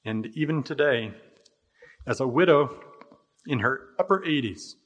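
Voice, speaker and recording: An older American man preaching an expository sermon in a measured, deliberate way, stressing phrases and letting the endings fall away. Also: mid-range voice, lively intonation, a bit bright, lo-fi sound. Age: older, about 60. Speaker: pastor. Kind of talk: expository sermon with scripture readings.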